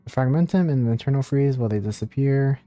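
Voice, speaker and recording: Man, game streamer, mellow mid-pitched voice, casual commentary, faint music in background. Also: fast talker. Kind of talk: casual live gameplay commentary and reading dialogue choices aloud.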